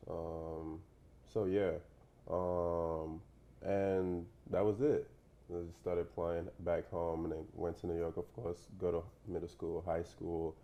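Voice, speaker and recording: Young man from Nigeria, low and flat-toned, relaxed interview guest. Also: slow, highs cut off. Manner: relaxed, rambling, many fillers and "you know". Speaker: professional basketball player, guest. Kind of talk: casual interview talk.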